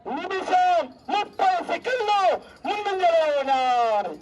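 Man, excited bullock race commentary, very high voice, exclamatory chanting, distant outdoor sound, very heavy background noise. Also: a little slow, muffled recording. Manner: loud, exclamatory, chanting repeated cries. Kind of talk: excited live race commentary with shouted cheers and name announcements. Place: outdoors at a rural race ground. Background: loud crowd, traffic and outdoor noise.

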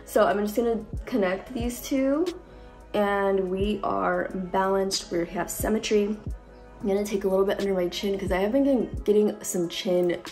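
Young woman beauty vlogger, cold-deepened voice, chatty, phone mic at home, faint music under. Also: moderate pace, a little mellow. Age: young adult. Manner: chatty, lots of likes and ums. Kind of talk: casual makeup tutorial. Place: bright room at home. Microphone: phone camera at close range.

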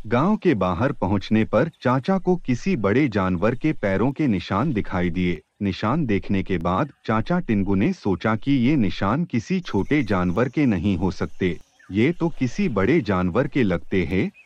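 Low-voiced man narrating with lively intonation. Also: a little slow, no room sound, muffled recording. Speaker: storyteller. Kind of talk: scripted children's story narration.

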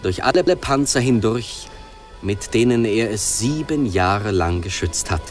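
An actor playing a grave storyteller who recites a Greek hero's words in a poetic, measured, declamatory radio drama style. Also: male voice, on the low side, natural voice. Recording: prominent music behind the voice.

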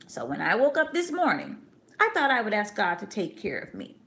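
A woman reading aloud and voicing a devout mother who speaks softly and earnestly to her little son. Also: medium pitch, huge pitch swings, brisk, voice pushed up, full character voice. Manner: soft, earnest, coaxing.

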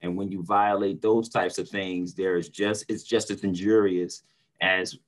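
Low-voiced earnest man. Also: flat delivery, a little slow. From North Philadelphia, United States. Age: middle-aged. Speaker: preacher and motivational speaker. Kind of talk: casual discussion in an online panel. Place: small room at home or office, indoors. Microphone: video call computer mic.

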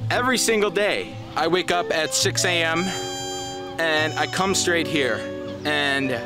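A man's voice: a little high, a little slow, music in the background. A young man in a comedy sketch monologue, talking in a whiny, rambling, self-pitying way. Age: young adult. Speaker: sketch comedian playing a hapless worker. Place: outdoors on a lake beach.

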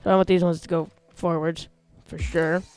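A man hosting a casual gameplay video, talking through how he builds a vehicle, with excited yelps when he drives it. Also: a little high, moderate pace, smooth, faint music behind. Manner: chatty, explains while building, excited yelps when driving. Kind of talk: casual let's-play commentary.

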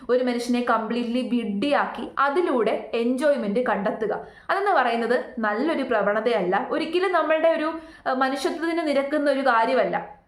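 A woman delivers opinionated commentary. She presses her points with repetition and rhetorical questions. The sound is roomy and echoing. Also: sits high. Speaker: YouTube commentator.

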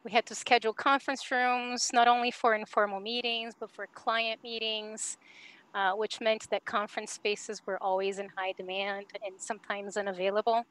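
A woman's voice: medium pitch, flat delivery, moderate pace, fairly bright tone, poor audio. A woman in her thirties, originally from Brazil, speaking as a panelist on a video call, with many fillers. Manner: hesitant, many fillers, laughs easily. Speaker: panelist, architect. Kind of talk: casual panel talk. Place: home.

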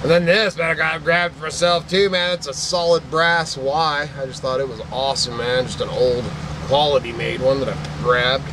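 A man's voice: medium pitch, steady tone, normal speed. Sentimental rambling man, noisy shop. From Idaho. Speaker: vlogger, antique picker. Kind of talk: casual chat to camera. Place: cluttered indoor shop.

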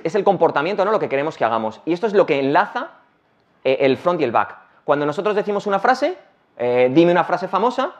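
A man from Madrid with a Madrid accent giving a casual technical talk on stage, talking rapidly with many restarts and fillers. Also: a little high. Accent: Madrid Spanish. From Madrid, Spain. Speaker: conference speaker. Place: large conference hall, on stage. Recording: dull lo-fi conference recording.

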